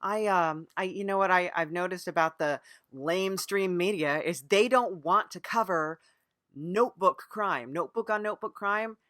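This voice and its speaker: A woman's voice: lowish voice, normal speed, a bit bright, dry recording, clean studio sound. A woman interviewing on an improvised comedy podcast, playing along earnestly in a hesitant way, often repeating her phrases. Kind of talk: improvised mock-serious interview.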